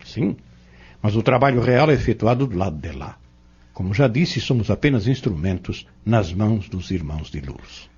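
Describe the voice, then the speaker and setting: A very husky, lowish Brazilian male voice from an actor plays a patient Spiritist instructor in an audio drama. He explains doctrine earnestly, with measured phrases and lively, emphatic swings of pitch. The sound is somewhat dull and lo-fi. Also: full character voice. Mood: earnest, instructive. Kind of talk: didactic audio-novel dialogue.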